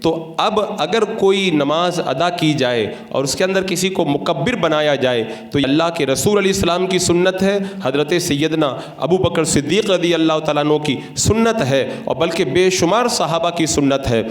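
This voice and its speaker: Echoey higher-pitched Indian male preacher. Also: moderate pace, hardly any pauses. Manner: didactic, argumentative, rhetorical questions. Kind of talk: Friday sermon in a mosque. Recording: echoey, reverberant room sound.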